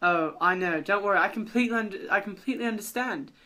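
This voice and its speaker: A man's voice: sits high, fast talker, sharp tone. A man voicing a polite, easygoing male duck with a put-on British accent in an improvised story. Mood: reassuring.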